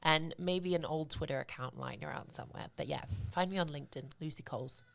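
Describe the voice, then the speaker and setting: A woman's voice: deep voice, brisk, vocal fry, fairly bright tone. A woman from Zimbabwe, in her thirties, chatting casually as a podcast guest, full of fillers like "like" and "you know what I mean". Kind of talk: casual interview chat.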